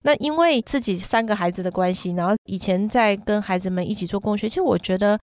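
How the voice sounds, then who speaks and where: A woman's voice: mid-range voice, quick pace, no echo, studio quality. A middle-aged woman in casual podcast conversation, explaining things at length with examples. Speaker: podcast guest and parent who runs children's summer camps.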